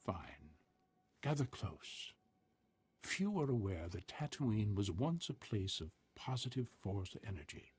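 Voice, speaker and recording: An ancient, cryptic desert prophet from a video game. His lowish, raspy voice is slow and solemn, recorded dry and close. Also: very animated, a little mellow, no room sound, heavily acted. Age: older. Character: ancient, half-mad male desert soothsayer, cryptic and solemn. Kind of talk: scripted video game acting.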